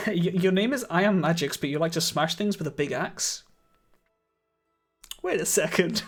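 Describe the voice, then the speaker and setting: A man streaming a game live, chatting casually with viewers and laughing often, his voice a little croaky. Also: fairly high pitch. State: slightly croaky voice. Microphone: studio microphone.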